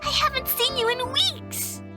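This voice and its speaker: A woman playing an excitable, mischievous fifteen-year-old girl in an audio drama, bubbly and quick to pout. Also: very high voice, over loud music. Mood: excited. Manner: bubbly, pouty, quick to bicker.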